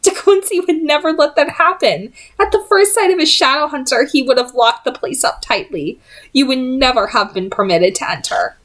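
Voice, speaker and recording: A woman's voice: high-pitched, normal speed, a bit bright, pitched above the performer's own voice. A woman voicing a haughty, scornful aristocratic female vampire in a storybook, formal and imperious.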